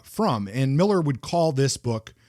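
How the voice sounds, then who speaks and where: A man co-hosting a book podcast, mid-pitched and recorded close and dry with no room sound. He talks in a casual, thoughtful way, often stopping to restart a phrase. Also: big pitch swings, darkish tone, dry recording. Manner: thoughtful, halting, with frequent restarts and fillers. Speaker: podcast co-host.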